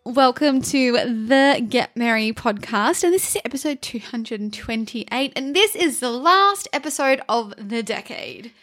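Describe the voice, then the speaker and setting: A woman's voice: on the high side, expressive, a little slow, hardly any pauses, fairly bright tone. A young adult woman co-hosting a podcast, speaking earnestly and encouragingly in casual chat. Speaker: podcast co-host.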